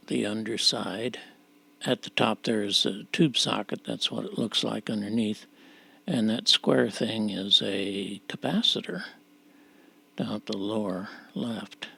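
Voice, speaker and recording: Slow, gravelly man explaining repairs. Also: lowish voice, sharp tone. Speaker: radio repair presenter. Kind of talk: unscripted repair walkthrough narration.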